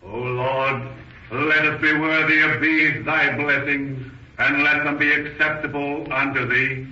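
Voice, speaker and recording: An actor playing a solemn clergyman who intones his words in a measured way, in 1930s radio style. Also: on the high side, little pitch movement, pushed and bright. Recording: echoey, distant, dull 1930s radio recording.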